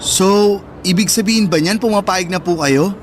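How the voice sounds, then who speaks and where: A man's voice: high-pitched, normal speed, a little hiss or hum, lightly acted. A man acting an affectionate young adult son in a Tagalog radio drama, politely and earnestly cajoling his mother. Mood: grateful, affectionate. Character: affectionate, coaxing grown son, respectful and eager. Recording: music bed under the voice.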